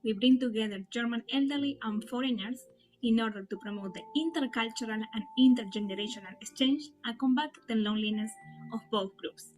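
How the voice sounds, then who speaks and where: A woman's voice: fairly high pitch, a little fast, faint music behind, rough recording. A woman, a nonprofit founder steadily explaining her project in a personal testimony. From Argentina.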